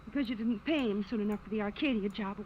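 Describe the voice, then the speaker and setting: An actress playing a scheming, frightened accomplice who pleads melodramatically in an old-time radio crime drama. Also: female voice, medium pitch, quick pace, lightly acted. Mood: tense. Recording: dull, faintly noisy vintage radio recording.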